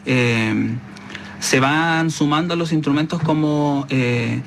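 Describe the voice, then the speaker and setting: Reflective Chilean man, halting phrases. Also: fairly high pitch, gravelly, a little hiss or hum. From San Antonio, Chile. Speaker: musician, interview guest. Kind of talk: casual interview answers.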